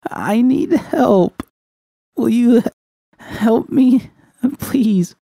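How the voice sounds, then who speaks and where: A man voicing an exhausted, self-loathing woman in a dramatic story, halting and stammering as she pleads. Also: very high voice, lively intonation, mellow, no echo, voice pushed up, heavily acted. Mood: tearful pleading.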